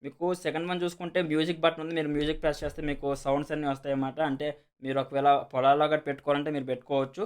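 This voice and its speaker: Man, Telugu accent, extremely rapid pace, slightly high pitch, casual product reviewer, repetitive, English words mixed in. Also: level tone. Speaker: host of a product unboxing and review video. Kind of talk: casual explanatory product demonstration.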